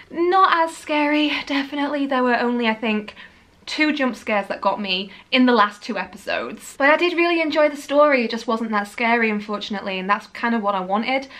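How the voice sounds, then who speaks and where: A young woman from Yorkshire with a Lincolnshire English accent, chatting casually about books in her living room, animated and laughing often. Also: high voice. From Yorkshire, England. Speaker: book vlogger.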